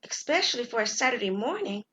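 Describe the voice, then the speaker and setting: A woman's voice: big pitch swings, fast, fairly bright tone. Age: young adult. Figure: a woman playing a timid, fearful college girl. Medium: audio drama. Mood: nervous. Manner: high-pitched, anxious, shrieks when scared.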